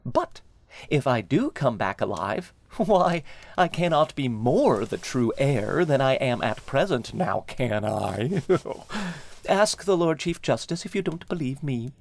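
A man's voice: mid-range voice, sing-song, slow, gravelly, exaggerated voice. A man voicing a clever, smug young prince who talks in a pompous, precise way. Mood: smugly reasoning. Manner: pompous, precise, lightly amused.

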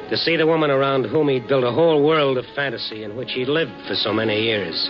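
A man's voice: mid-range voice, close to an everyday voice. Sincere, coaxing newspaperman acted by a man, 1950s radio drama, muffled vintage recording. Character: steady, compassionate male big-city newspaper reporter. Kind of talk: 1950s radio drama acting with first-person narration.

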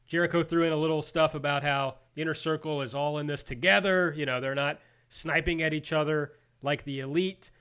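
A man with a fairly high speaking voice hosts a casual review discussion. He recaps in a conversational way and gives his opinions bluntly. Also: steady tone, brisk, few pauses, fairly bright tone, smooth. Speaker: host of a wrestling review show.

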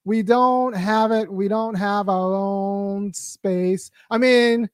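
Woman host chatting politics, lively, laughs a lot, dead dry sound. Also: mid-range voice, takes it slowly, no room sound. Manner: animated, laughing, acts out quotes. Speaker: podcast host. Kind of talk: casual political chat.

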